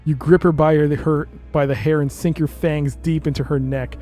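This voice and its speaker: A man's voice: fairly high pitch, fairly even intonation, normal speed, dark tone, faint music behind. A man streaming a game, reading its narration aloud and adding casual commentary.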